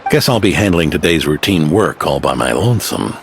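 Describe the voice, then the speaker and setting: An actor playing a kindly old retired knight who speaks with gentle, old-fashioned courtesy. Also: male voice, very deep voice, expressive, brisk, a little hiss or hum. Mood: concerned. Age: older. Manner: warm, old-fashioned phrasing.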